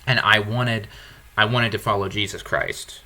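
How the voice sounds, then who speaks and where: A deep-voiced male podcast host speaking with a bright, forceful edge despite his low register. He reflects candidly and earnestly, often circling back to restart a phrase. The talk is unscripted and conversational. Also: fairly even intonation, a little slow.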